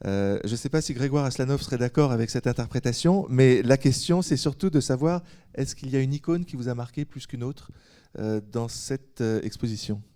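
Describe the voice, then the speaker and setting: A man's voice: medium pitch, expressive, brisk, mellow. A man hosting a panel discussion, putting thoughtful questions and rephrasing them as he goes. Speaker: host and moderator of a panel discussion.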